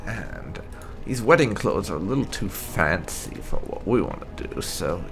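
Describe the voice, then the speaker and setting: A man's voice: low voice, expressive, a little slow, gravelly. A man hosting a game playthrough, commenting on his choices and talking partly in character as he roleplays. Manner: talks in character while narrating his choices. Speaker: gaming channel host.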